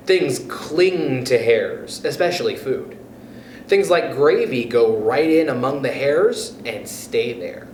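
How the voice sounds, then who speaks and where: Man reading aloud in an echoey room. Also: medium pitch. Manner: addresses young listeners directly, encouraging. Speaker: storytime host reading to children. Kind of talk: expressive read-aloud of a children's book. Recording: echoey room with background noise.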